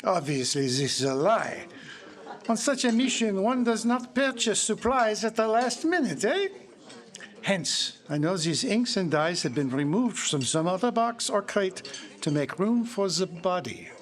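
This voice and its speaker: High-voiced, pompous Belgian detective. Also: male voice, sing-song, a little slow. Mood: confident deduction. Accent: French-speaking Belgian, put on. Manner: precise, theatrical, self-satisfied explaining. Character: Belgian detective, courtly, pompous, confident man. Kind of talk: live radio-style mystery drama performance. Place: public library live stage before an audience.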